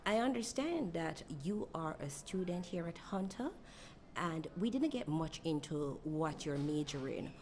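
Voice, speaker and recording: A woman's voice: low-pitched, lively intonation, a little slow, a little mellow, a little hiss or hum. A woman interviewing a student guest for a class project, asking long, meandering questions.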